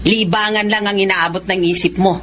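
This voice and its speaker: An actress playing a wry police sergeant, teasing and reproachful, in a radio drama. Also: female voice, medium pitch, fairly even intonation, a little fast, a bit bright, a little hiss or hum, lightly acted. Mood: chiding. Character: wry, chiding police sergeant at a small precinct. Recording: music bed under the voice.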